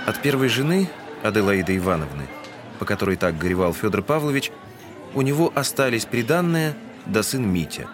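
A man's voice: on the low side, very animated, brisk. An actor playing a wry townsman who narrates a literary audio drama. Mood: wry, storytelling. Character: storytelling townsman, gossipy and wry. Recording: music behind the narration.

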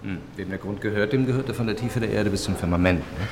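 An actor playing a self-assured, argumentative corporate manager in a modern radio drama. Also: male voice, fairly low pitch, fast, noisy background, lightly acted. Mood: smooth pressure. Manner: insistent, rhetorical, argumentative.

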